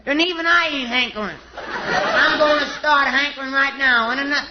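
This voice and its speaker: A man's voice: very high voice, moderate pace. Man as hapless blustering cowboy, very noisy. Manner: mangled, blustering cowboy slang. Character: hapless, cowardly male comic cowboy outlaw. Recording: dull, very noisy old radio recording.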